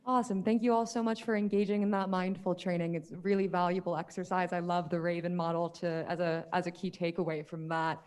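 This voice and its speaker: A woman's voice: lowish voice, level tone, quick pace, hardly any pauses, a little mellow, clear, resonant tone. Young woman running a student senate meeting, super upbeat, calls everything beautiful and amazing. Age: young adult. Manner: effusive, upbeat, lots of praise. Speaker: meeting chair.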